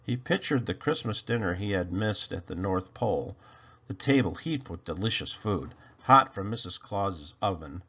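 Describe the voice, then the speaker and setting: A man reading a children's storybook aloud as narrator, with expressive, deliberate and dramatic delivery. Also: deep voice, husky, highs cut off. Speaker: storybook narrator, channel host.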